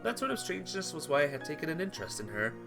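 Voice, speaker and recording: A man's voice: a little high, big pitch swings, very fast, gravelly, music in the background. A man reading visual novel text aloud during a playthrough, sometimes stumbling and rereading phrases. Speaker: video game player reading aloud.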